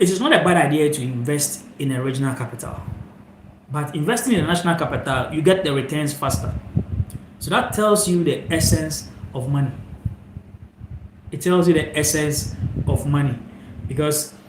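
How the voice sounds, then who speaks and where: A young man from Ghana hosting a live stream, talking reflectively to viewers into a phone held sideways, rambling with fillers. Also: on the high side. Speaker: channel host. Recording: echoey room, faint noise.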